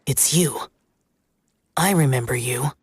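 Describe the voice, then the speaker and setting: An actor playing a stoic, youthful immortal demon slayer in a video game, speaking formally and with restraint in a husky voice. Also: male voice, medium pitch, takes it slowly, slight background noise, close to an everyday voice. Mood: reserved, apologetic. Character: stoic, solemn immortal demon-slaying warrior, youthful male.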